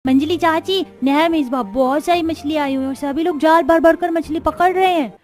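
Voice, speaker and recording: A woman's voice: high-pitched, normal speed, a little mellow, pitched above the performer's own voice, natural voice. A woman voicing an eager, exclaiming little village boy in a cartoon. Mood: excited.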